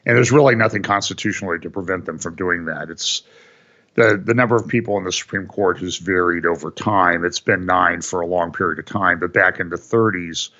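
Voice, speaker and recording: A deep-voiced man talking about the news on a call, wry and exasperated, in long runs. His tone is low but with a bright, forceful edge. The call audio is a little dull. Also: fairly quick. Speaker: guest commentator. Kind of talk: casual political chat. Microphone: phone or call line.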